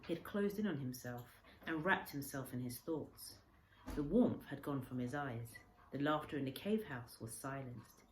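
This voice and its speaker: A woman teacher reading a children's story aloud with expressive narration. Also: low voice. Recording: echoey room, faint background noise.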